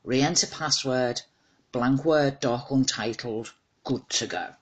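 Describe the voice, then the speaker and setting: A woman's voice: extremely low pitch, slow, husky, rough recording. A woman narrating a scripted short story as its author, in a wry, dramatised reading.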